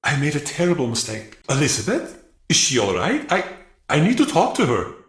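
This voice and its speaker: A man playing an earnest, remorseful captive in a video game, speaking with a halting, dramatic manner. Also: on the high side, huge pitch swings. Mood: anxious. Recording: roomy, echoing space.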